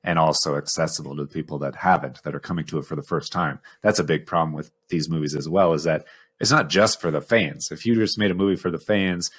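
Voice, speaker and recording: Deep-voiced man chatting on a podcast. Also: fast, fairly bright tone. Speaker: podcast co-host. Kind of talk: casual analytical podcast discussion.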